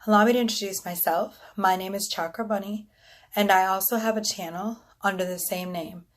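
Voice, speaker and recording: A woman's voice: mid-range voice, steady tone, a little fast. A woman with a scratchy, congested voice reading tarot casually to a phone camera, rambling with many ums and whispered asides. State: congested from allergies. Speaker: tarot reader.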